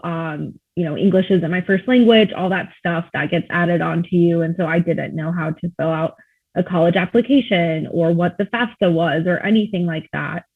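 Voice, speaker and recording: A man with a high voice speaks earnestly as a panelist, leaning on "um" as he thinks. His voice comes through thin and narrow, like a phone call. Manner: earnest, many fillers. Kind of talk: panel discussion answer. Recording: tinny phone-like line.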